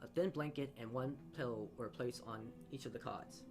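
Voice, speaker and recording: A man's voice: mid-range voice, moderate pace, music in the background. Man reading a visual novel aloud. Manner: stumbles over words and rereads phrases. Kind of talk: live read-aloud narration with side comments.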